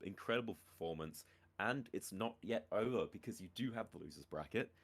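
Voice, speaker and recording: Man, esports caster, lowish voice, chatty, jokes at his own expense, casual interview. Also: lively intonation, fairly quick. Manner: chatty, self-deprecating and joking.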